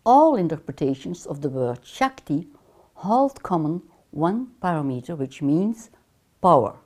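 An older woman with a Dutch accent, a native Dutch speaker, giving a measured, deliberate online course lecture while seated in a small room. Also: sits low, big pitch swings, a little mellow. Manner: measured, deliberate, gestures with her hands. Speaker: counseling lecturer. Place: small lamp-lit room, seated in armchair.

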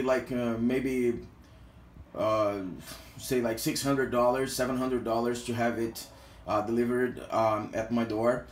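A man's voice: medium pitch, slight background noise. A Brazilian man with a mid-range voice talks through a gear review, stopping often for fillers and restarts. He sounds hesitant but friendly in a casual way. The small indoor room around him adds a roomy echo. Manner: hesitant, heavy use of fillers. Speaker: product reviewer.

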